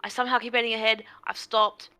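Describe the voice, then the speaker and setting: A woman's voice: fairly high pitch, little pitch movement, fast talker, pushed and bright, clean tone. A woman giving casual, blunt and exclamatory commentary on a game stream. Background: game music.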